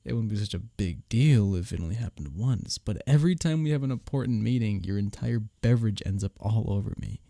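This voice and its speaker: A man playing a smug, taunting office rival in an intimate roleplay audio drama, sardonic and teasing, with a husky low voice. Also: lively intonation, a little fast, few pauses, warm tone, dry recording, studio quality. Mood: sardonic, needling. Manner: sardonic, teasing, needling, with breathy chuckles.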